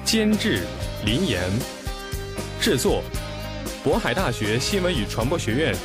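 A man's voice: mid-range voice, very animated, a little slow. A man reading scripted credits as the announcer of a radio drama. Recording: voice under loud guitar music.

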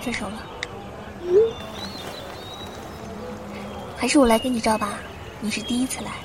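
A woman acting a lively young university student in a Chinese radio drama, with a youthful, chatty way of talking. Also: fairly high pitch, very fast, background noise, natural voice. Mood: subdued. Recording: music behind the voice.

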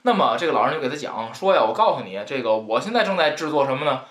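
A man's voice: medium pitch, expressive, fast, a bit bright. A man with a northern Chinese Mandarin accent, telling a story as a podcast co-host in a colloquial, rambling, joking way. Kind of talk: casual comic retelling of a novel's story. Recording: roomy, echoing sound.

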